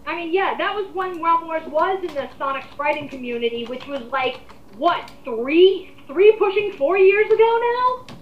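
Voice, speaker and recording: A woman's voice: very high voice, animated, normal speed, slight background noise. A woman on a casual group rant, talking in an exasperated, emphatic way with plenty of swearing. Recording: muffled, echoey amateur voice recording.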